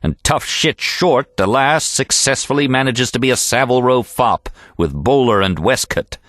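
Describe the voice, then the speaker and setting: A man's voice: medium pitch, expressive, moderate pace, fairly bright tone, dry recording, voice pushed up, lightly acted. Man putting on a pompous male poet, audiobook character, sneering, boastful, declamatory, swooping intonation, dry sound. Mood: scornful. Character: sharp-tongued, pompous male poet holding forth.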